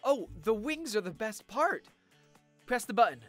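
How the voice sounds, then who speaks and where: An actress playing a friendly, proud costume maker at a party in a naturalistic audio drama, cheerful and conversational. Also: female voice, fairly high pitch, big pitch swings, measured pace, a bit bright, soft background music.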